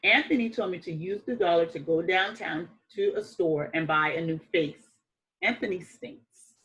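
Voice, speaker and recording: Woman, bright forward voice, children's read-aloud, expressive, emphatic phrasing, deliberate pauses. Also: lowish voice, muffled recording. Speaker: teacher reading a picture book aloud.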